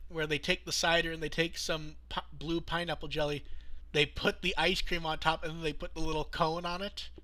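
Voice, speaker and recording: A man's voice: on the high side, level tone, brisk, pushed and bright, a little hiss or hum. A man hosting a casual podcast, talking through news items in a conversational way. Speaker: podcast host.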